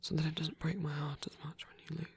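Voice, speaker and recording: A man performing a soft ASMR roleplay as a lonely, gentle old vampire priest, speaking haltingly and tenderly in formal words, breathy and often near a whisper. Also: fairly high pitch, completely flat delivery. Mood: wistful, tender.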